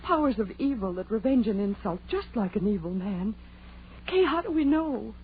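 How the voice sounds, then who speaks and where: A woman's voice: on the high side, expressive, normal speed. An actress playing a fearful, haunted young woman in an old radio horror play, with a dramatic, pleading delivery. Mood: dread, ominous. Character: fearful, guilt-ridden young educated woman haunted by evil. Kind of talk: 1940s radio horror acting. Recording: tinny old radio recording with hum.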